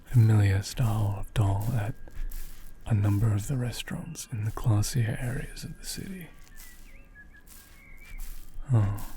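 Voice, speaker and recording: Man playing gentle male doll repairer, ASMR roleplay, dark soft timbre, half-whispered, soothing, attentive, faint noise behind. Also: lowish voice, exaggerated voice. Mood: calm, curious. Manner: unhurried, hushed, murmuring with thoughtful hums.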